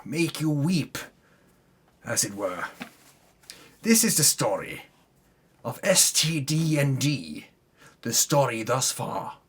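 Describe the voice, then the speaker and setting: A man with a husky voice tells a fantasy campaign tale as a mock-epic dramatic reading. He takes his time, delivering it slowly and pompously at an ordinary male pitch. His grand narration is undercut by dry, self-correcting comic asides. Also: fairly bright tone. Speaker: storyteller recapping a tabletop campaign.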